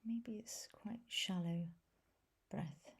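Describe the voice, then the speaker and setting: A woman leading a guided meditation as a teacher, speaking softly and gently in a breathy voice with long pauses between phrases. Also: medium pitch. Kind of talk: guided body scan meditation.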